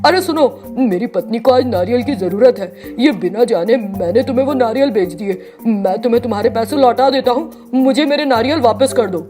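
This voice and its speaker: A woman's voice: on the high side, fairly quick, hardly any pauses, warm tone, faint music behind, lightly acted. A woman voicing a greedy, brusque male coconut seller who haggles, in a storybook tale. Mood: urgent. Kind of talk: storybook character voice.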